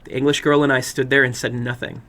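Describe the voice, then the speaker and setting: A man narrating a horror story dramatically, in a deliberate, suspenseful manner. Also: mid-range voice, flat delivery, sharp tone. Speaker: horror fiction reader and host.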